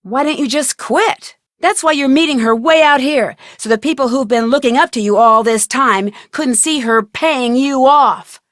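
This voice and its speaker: High-voiced plucky young detective played by a woman. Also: moderate pace, few pauses, dry recording, clean studio sound, close to an everyday voice. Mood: accusing. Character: young female amateur detective, plucky, curious, helpful. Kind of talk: scripted video game voice acting.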